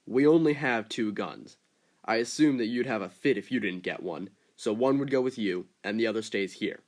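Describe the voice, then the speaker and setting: A man's voice: lowish voice, normal speed, close to an everyday voice. A man playing a stern, distrustful ship captain who speaks bluntly and gives orders. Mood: firm. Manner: blunt, commanding.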